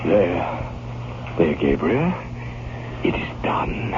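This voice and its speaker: An actor playing a gloomy, dazed, haunted man in an old-time radio drama, halting and brooding. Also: deep voice, big pitch swings, exaggerated voice. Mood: grim, hollow. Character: gloomy, dazed, haunted brother, master of decaying house. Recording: dull, noisy vintage radio recording.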